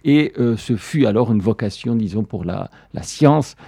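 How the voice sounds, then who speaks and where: A man's voice: on the low side, expressive, moderate pace, vocal fry, mellow, husky, dry recording, clean studio sound. An older man from Belgium, a professor, answering interview questions thoughtfully with frequent fillers. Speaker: professor guest.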